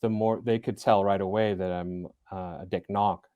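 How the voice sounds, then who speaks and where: A man in his forties answering interview questions in a low voice. He speaks thoughtfully, pausing with fillers and small laughs. The recording is dry and close. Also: fairly even intonation, dry recording, phone-quality sound. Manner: thoughtful, hesitant, with many fillers and soft laughs. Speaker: interview guest, business school center director. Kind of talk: casual interview talk.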